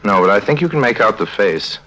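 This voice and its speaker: An actor in a 1950s radio drama playing a smooth, calculating con man, conversational and insinuating. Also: fairly low pitch, very animated, brisk, bright, forceful tone, heavily acted, low-quality recording. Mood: coolly persuasive. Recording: vintage 1950s radio recording.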